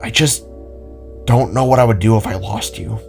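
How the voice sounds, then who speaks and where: A man's voice: on the low side, moderate pace, creaky, a little mellow. A man playing a protective, worried older male cousin in an audio drama, speaking in a hesitant, tender, weary way. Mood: vulnerable. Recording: music under the voice.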